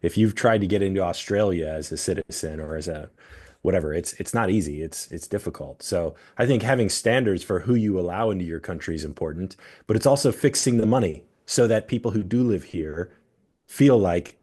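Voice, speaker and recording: Man, lowish voice, podcast host, measured, steady, long explanations. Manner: measured, steady, explains his views at length. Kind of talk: casual political discussion.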